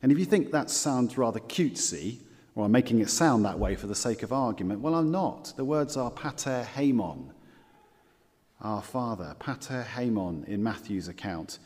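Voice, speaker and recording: A man preaching a sermon as pastor, earnest and measured, repeating key phrases and slowing down for scripture quotes. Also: mid-range voice, animated, darkish tone, in a large hall.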